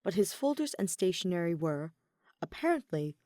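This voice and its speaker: A woman narrates a story in audiobook style. Her pitch is slightly low and moves in a very lively way, and the recording is dry and close. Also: moderate pace, no echo. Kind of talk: scripted narration of fan fiction.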